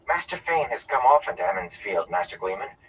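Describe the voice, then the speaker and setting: A man voicing a polite young village woman in an audiobook, measured, restrained and a little prim. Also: on the low side, very animated, fairly bright tone, distant mic, muffled recording, a little hiss or hum. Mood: mildly disapproving. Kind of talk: audiobook character voice.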